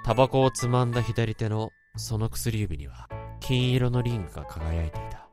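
An actor playing a troubled male office worker who narrates his own story in a radio drama, in a brooding, halting way. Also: on the low side, close to an everyday voice. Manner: brooding, halting inner monologue. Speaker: narrator and protagonist. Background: music.

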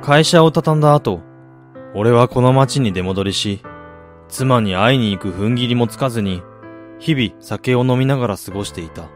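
A man acts out a broken father in a radio play, with a medium-pitched voice. He sounds heavy and inward-looking, weighed down by regret, while faint music plays behind him. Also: expressive, lightly acted. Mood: reflective. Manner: halting, heavy, introspective. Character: remorseful, broken middle-aged father narrating his story. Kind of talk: radio drama narration and acting.

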